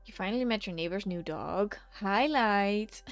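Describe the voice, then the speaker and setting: Brief: woman streamer, mid-pitched, murmurs and half-whispers over her drawing, faint music behind. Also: lively intonation, measured pace. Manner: soft, murmuring to herself, often half-whispered; chatty, reacting to chat with laughs. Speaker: streamer painting live. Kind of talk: casual chat while drawing.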